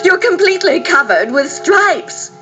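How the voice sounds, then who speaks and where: An alarmed, bossy storybook mother, played by a woman reader, speaking in a high voice. She fusses dramatically, with loud music underneath. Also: very animated, slow. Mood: horrified. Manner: dramatic, fussing.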